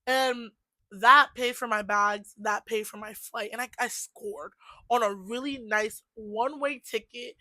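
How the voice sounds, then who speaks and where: A young woman in her twenties telling a personal story in a casual vlog, animated and chatty, full of "like" fillers and laughing asides. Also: fairly high pitch, measured pace, dry recording. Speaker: vlogger.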